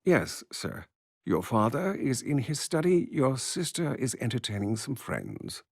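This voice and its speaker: A deferential household servant from a game, dryly polite and discreet. His unhurried speech swings widely in pitch, recorded close and dry. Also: huge pitch swings, creaky, husky, no echo, full character voice. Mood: polite, formal. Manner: formal, dryly polite, hesitant. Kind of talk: video game cutscene acting.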